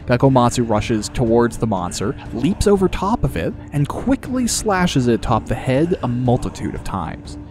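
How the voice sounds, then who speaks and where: A man's voice: medium pitch, big pitch swings, normal speed, few pauses. A man narrating a scripted fantasy audiobook in a dramatic storytelling style. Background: music.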